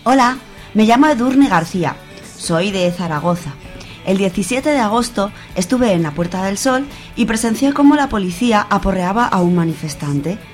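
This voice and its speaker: A woman's voice: mid-range voice, animated, moderate pace, a little mellow, soft background music, close to an everyday voice. Woman playing an earnest young Spanish woman. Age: young adult. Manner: polite, earnest. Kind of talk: amateur radio drama, reading a letter.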